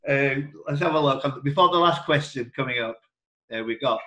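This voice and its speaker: A man hosting a quiz on a group video call, reading questions in a deliberate way with informal banter. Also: mid-range voice, a bit bright. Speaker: quiz host and question setter. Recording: echoey room over video call.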